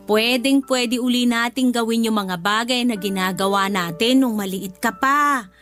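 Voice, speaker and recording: Older Filipino nanny, high-pitched, tender, tearful, motherly, radio drama, soft music behind. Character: loving older nanny, motherly, grieving her lost child.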